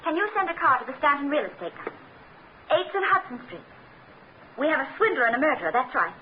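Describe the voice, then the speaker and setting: A woman's voice: high voice, very animated, quick pace. A woman playing a cool, inquisitive young secretary in a 1940s radio drama, coy, questioning and composed. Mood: composed, urgent. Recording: muffled, very noisy old radio recording.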